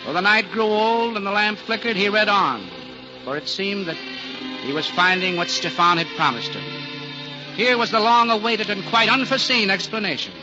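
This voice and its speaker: Music swells under a male storyteller in a muffled old radio recording. His voice sits a little high, and he narrates the scripted drama in a solemn, literary forties style. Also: moderate pace.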